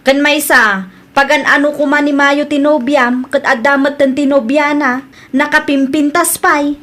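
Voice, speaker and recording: A woman's voice: high-pitched, steady tone, unhurried, a bit bright. A woman with a Filipino Ilocano accent playing a gossipy, suspicious young sister in a comedy drama. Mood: scornful.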